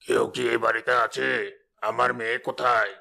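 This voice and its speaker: A man's voice: medium pitch, flat delivery, fairly quick, gravelly, sharp tone, exaggerated voice. A man voicing an evil, scheming sorcerer who masters a snake, theatrical and menacing, in a cartoon story. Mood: commanding, sly. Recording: music bed under the dialogue.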